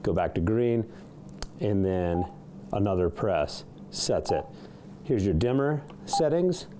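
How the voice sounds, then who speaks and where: A man's voice: fairly low pitch, expressive, slow, dark tone, a little hiss or hum. A man giving a casual, unscripted product demonstration in a studio, slightly hesitant as he works through the features. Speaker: product reviewer demonstrating a CB radio. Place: indoor studio.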